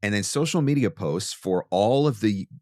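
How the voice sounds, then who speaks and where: A man with a fairly low voice speaks as a host giving practical instruction. He leans deliberately on key words for emphasis. The sound is dry, with very little room in it. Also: animated, darkish tone, no room sound. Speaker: host, voice actor teaching marketing. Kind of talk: instructional monologue to camera.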